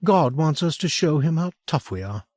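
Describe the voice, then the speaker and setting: A man voicing a warm, gently teasing middle-aged woman in an audiobook, chatty and lilting, sometimes dropping to a hush. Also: fairly high pitch, lively intonation, normal speed, no echo, pitched above the performer's own voice. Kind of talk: audiobook character voice.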